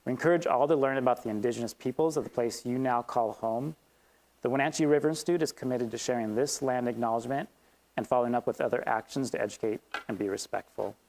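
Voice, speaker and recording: A man's voice: mid-range voice, quick pace, darkish tone, a little hiss or hum, rough recording. Man hosting a community talk. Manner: reads steadily, then loosens with ums. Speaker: event host. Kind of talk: reading a land acknowledgement and introducing a speaker. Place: event hall with audience.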